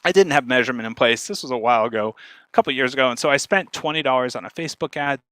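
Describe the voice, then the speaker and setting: A man giving an informal instructional talk as a speaker, talking fast and animatedly and often checking in with his listeners. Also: mid-range voice. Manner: fast, animated talker who checks in with "right?".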